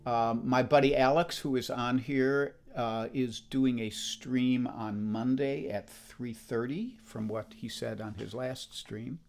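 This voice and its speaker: A man in his seventies with a croaky voice, chatting casually and in a rambling, self-deprecating way between songs on his live stream. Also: medium pitch, steady tone, slow, few pauses, darkish tone. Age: 70s. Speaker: musician hosting a live stream. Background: acoustic guitar.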